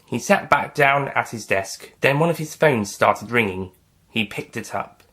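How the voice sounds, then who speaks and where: A man's voice: medium pitch, expressive, a little slow, sharp tone, breathy edge. A man reading scripted science fiction story narration as its narrator. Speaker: author and narrator.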